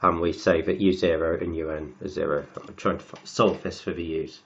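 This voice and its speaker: Croaky man talking through maths. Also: sits low, steady tone, highs cut off. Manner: halting, repeats words while writing. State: has a cold, croaky and sniffly. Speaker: maths tutor. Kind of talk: working through textbook maths aloud.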